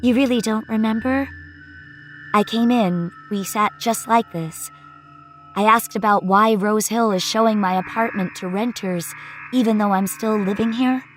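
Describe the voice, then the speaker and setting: A woman's voice: mid-range voice, a little slow, soft background music, natural voice. A woman playing an agitated, sarcastic young female tenant who demands answers in an emphatic, exasperated way in an audio horror story. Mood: insistent.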